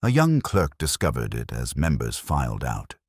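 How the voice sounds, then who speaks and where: A man narrating a scripted mystery story in a measured, formal way. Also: very deep voice, wildly swooping pitch, no echo, clean studio sound.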